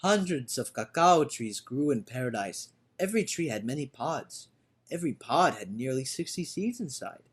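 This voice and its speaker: A man reading a storybook aloud as a dramatic, theatrical storyteller who moves between hushed and loud delivery. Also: mid-range voice, big pitch swings, moderate pace, husky. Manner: theatrical, swinging from hushed to shouting. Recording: orchestral music behind the voice. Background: orchestral music.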